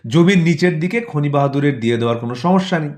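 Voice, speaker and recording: A man's voice: on the high side, lively intonation, fast talker, a little mellow, natural voice. A man voicing a cunning courtier who advises his lord deferentially in a satirical audio story. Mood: sly, deferential.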